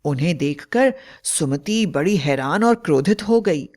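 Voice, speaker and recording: A woman's voice: sits low, lively intonation, measured pace, darkish tone. A woman narrating a scripted horror fairy tale in a dramatic storytelling style.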